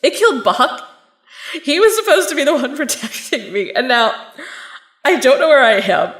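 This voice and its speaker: A woman's voice: sits high, fairly bright tone. A woman playing a lost young Kansas girl, bossy, exasperated and overwhelmed, in an audio drama. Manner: urgent, exasperated, sarcastic. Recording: roomy echo, music underneath. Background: music.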